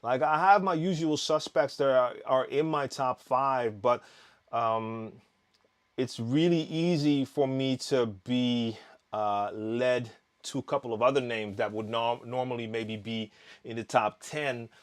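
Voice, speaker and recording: Relaxed man chatting on a live stream. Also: medium pitch, unhurried. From Netherlands. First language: Dutch. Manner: relaxed, chatty, reading viewer comments aloud with frequent fillers and restarts. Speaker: DJ hosting a live Q&A.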